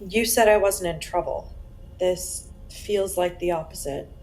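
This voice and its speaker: A woman witness acted by a woman in a crime audio drama, on the lower side in pitch and slow to speak. She is wary and uneasy, giving short, hesitant, guarded answers. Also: darkish tone, faint music behind, close to an everyday voice, poor audio. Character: uneasy, guarded woman being questioned by police.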